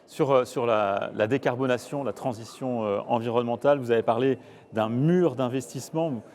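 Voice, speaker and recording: A man's voice: mid-range voice, moderate pace, darkish tone. A man interviewing, feeling his way through long questions with hesitant, roundabout phrasing.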